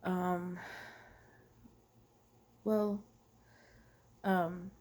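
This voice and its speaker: A woman in her thirties, from Taiwan, who has a cold, talking slowly and haltingly in a casual personal monologue, slipping now and then into a whisper. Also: fairly low pitch, little pitch movement, a little mellow. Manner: halting, many ums and restarts, drifting into whispers. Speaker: vlogger.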